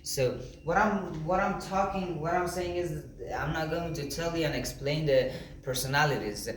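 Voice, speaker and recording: A man who speaks English as a second language gives an informal motivational talk in an earnest, halting, repetitive way. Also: a little high. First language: not English (second language). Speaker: motivational content creator. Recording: echoey room with faint background noise.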